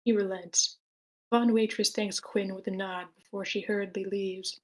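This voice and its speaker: A woman's voice: mid-range voice, level tone, moderate pace. A woman reading stage directions in a matter-of-fact way at a screenplay table read.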